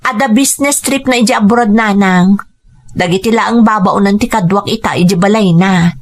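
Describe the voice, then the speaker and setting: An actress playing an ambitious young kept woman in an audio drama, with a Filipino accent and expressive delivery. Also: mid-range voice, normal speed, natural voice. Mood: proud, insistent. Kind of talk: Ilocano audio drama acting.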